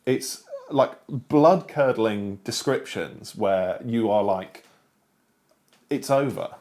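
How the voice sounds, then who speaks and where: Low-voiced man, animated chat. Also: slow. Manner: animated, emphatic, trailing off into fillers. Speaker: co-host. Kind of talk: casual chat.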